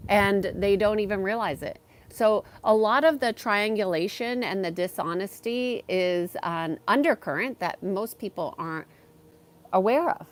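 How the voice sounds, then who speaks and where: A woman hosting a live stream from her backyard, talking slowly and softly, pausing with ums as she reads and answers chat comments. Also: medium pitch. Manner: slow, soft-spoken, with frequent ums.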